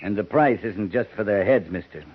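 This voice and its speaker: A terse, stern cavalry captain. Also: male voice, lowish voice, moderate pace, slightly rough. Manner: terse, clipped, commanding. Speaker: lead character. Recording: muffled 1950s radio broadcast with hiss.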